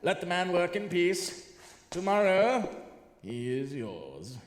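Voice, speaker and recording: A man playing a pompous, jovial older gentleman in a comedic gothic horror audio drama, hearty and old-fashioned in manner. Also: sits high, wildly swooping pitch, slow pace, exaggerated voice. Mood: jovial, blustering. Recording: echoey reverberant room with background noise.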